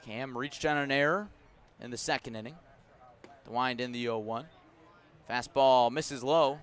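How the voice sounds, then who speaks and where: A man doing live radio baseball play-by-play, describing each pitch in detail and filling in with player stories. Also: a little slow. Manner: descriptive, storytelling between pitches, rising to excited calls on big plays.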